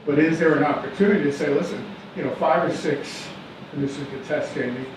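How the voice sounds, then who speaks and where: A man's voice: fairly high pitch, a little fast. A man at a public meeting making a persuasive suggestion, hedging with fillers. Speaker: resident commenting. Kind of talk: public comment. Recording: echoey room, distant, noisy.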